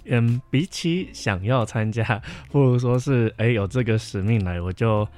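A man's voice: medium pitch, a little slow, a little mellow. A young man, chatting casually as a podcast guest. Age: young adult. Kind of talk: casual chat. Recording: background music behind the voice. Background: music.

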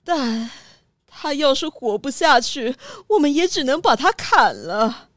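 A woman's voice: sits high, lively intonation, a little slow, fairly bright tone, no echo, studio quality. A woman voicing an earnest, worried small-town mayor in a children's radio drama. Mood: anxious.

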